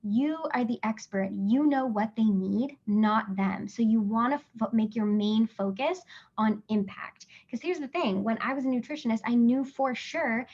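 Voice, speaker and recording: A woman's voice: a little high, normal speed, darkish tone, lo-fi sound. Chatty woman coaching a live webinar. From Canada. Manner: chatty and encouraging, many fillers and "right?" checks. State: losing her voice. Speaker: webinar host and business coach.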